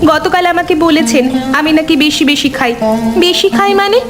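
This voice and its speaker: A woman's voice: very high-pitched, moderate pace. A woman playing a timid, anxious youngest daughter-in-law in a cartoon drama, with a plaintive, fearful manner. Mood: plaintive, weary. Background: music.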